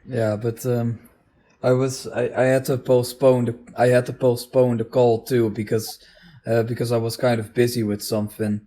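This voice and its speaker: A man's voice: medium pitch, level tone, moderate pace, warm tone. A man in a casual unscripted online chat, speaking hesitantly and repeating his words as he goes. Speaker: video co-host.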